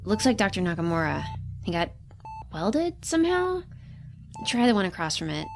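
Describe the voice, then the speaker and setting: A woman playing a practical young crewmate in a sci-fi audio drama, talking in a brisk, matter-of-fact way. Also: mid-range voice, very animated, creaky, soft background music. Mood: brisk, focused.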